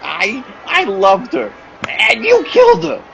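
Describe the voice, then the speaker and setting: Man acting an anguished, gravelly little circus man. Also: sits high, wildly swooping pitch, slow pace, sharp tone, slight background noise, heavily acted, low-quality recording. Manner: melodramatic, insistent. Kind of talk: radio play acting.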